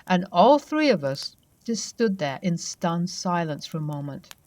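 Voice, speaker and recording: Female narrator, low-pitched, soft conversational storytelling of paranormal tales. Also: very animated, a little slow.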